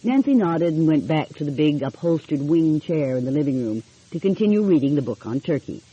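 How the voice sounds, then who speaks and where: A woman narrating an audiobook in an expressive storytelling style. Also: low-pitched, brisk, breathy edge. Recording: dull, lo-fi audio.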